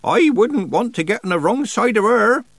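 Stubborn, cheerful old countryman, played by a male narrator, rustic dialect, high-lifted swooping storybook voice. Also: very animated, normal speed, fairly bright tone, no room sound, heavily acted. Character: cheerful, stubborn old bedridden cottager man. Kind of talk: audiobook character dialogue.

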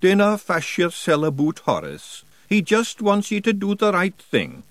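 A man's voice: high voice, huge pitch swings, a little slow, dry recording, pitched above the performer's own voice, full character voice. A man voicing a daft little pictsie warrior in a broad put-on Scots accent, coaxing.

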